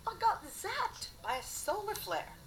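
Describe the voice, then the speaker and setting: A woman's voice: very high-pitched, huge pitch swings, unhurried, voice pushed up, heavily acted. A woman voicing a boastful, playful male time-traveller with an attempted London accent in a fan story reading. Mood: playful bragging. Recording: faint noisy playback of home recording.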